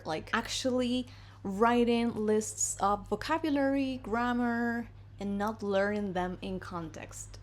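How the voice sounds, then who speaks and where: A woman from Argentina teaches English in a relaxed, chatty way, with a clear Argentine accent. She is a native Spanish speaker who talks to her viewers as if they were friends, moving between English and Spanish. Her voice sits at a middle pitch. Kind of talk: casual video lesson. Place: home in Madrid.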